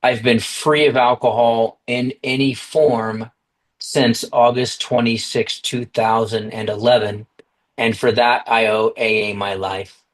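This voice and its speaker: A man's voice: medium pitch, a little slow. Earnest American man on a video call. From United States. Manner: earnest, steady, with small restarts. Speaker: recovery meeting speaker. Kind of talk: personal recovery talk. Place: online video meeting.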